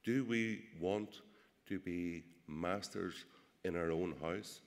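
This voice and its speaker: A man with a Derry accent speaks at a rally in a fairly low voice. He builds to rousing rhetorical questions and often fills his pauses with "uh". The sound rings with the long reverb of a big event hall. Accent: Derry, Northern Irish. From Northern Ireland. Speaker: political speaker. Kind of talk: public speech at a rally.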